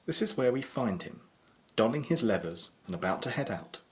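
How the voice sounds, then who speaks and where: A man's voice: mid-range voice, sing-song, normal speed. A man with a British accent narrating a scripted audio drama in a theatrical, ominous storytelling manner.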